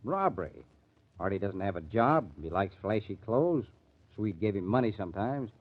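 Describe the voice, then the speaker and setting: An actor playing a probing, skeptical murder investigator in an old-time radio crime drama. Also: male voice, fairly low pitch, animated, moderate pace. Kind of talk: 1940s radio acting. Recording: tinny, narrow-band old radio recording.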